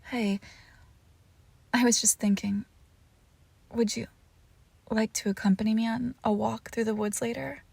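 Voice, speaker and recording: Female performer as clingy, doting witch for ASMR audio drama; unhurried, husky delivery with whispered tenderness. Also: a little high, steady tone, no echo, full character voice. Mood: shy, playful. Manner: soft, breathy, often slipping into whispers, tender cooing. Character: lovesick possessive forest witch, doting, lonely, clingy. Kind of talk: intimate ASMR roleplay, scripted monologue.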